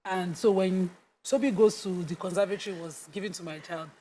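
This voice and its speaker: A middle-aged Nigerian woman presenting a TV interview, speaking haltingly while she searches for words. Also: fairly low pitch, fairly even intonation, dark tone, a little hiss or hum. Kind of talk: TV studio interview.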